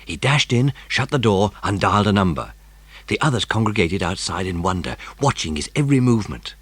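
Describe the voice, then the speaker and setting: A man narrating a children's story in an expressive, dramatic storytelling manner. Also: fairly low pitch, fairly quick, slightly rough, no room sound.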